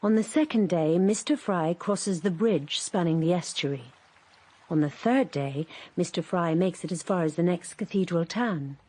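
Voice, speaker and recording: A woman narrating a radio drama in a measured, gentle voice. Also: fairly low pitch. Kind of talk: scripted narration.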